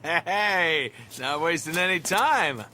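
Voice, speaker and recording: Cocky, slow-drawling young game adventurer. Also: male voice, a little high, animated, noisy background. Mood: excited, brash. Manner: sardonic, teasing. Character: wisecracking, cocky young male adventurer teasing his companions. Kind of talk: video game voice acting. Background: game music.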